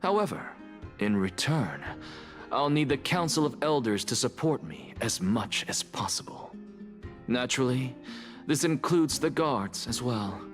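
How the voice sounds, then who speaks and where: A proud, impatient scholar in a fantasy video game. His lowish voice swings expressively through haughty, clipped and precise phrases, with music clearly playing underneath. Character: arrogant, blunt, brilliant male scholar, impatient and proud.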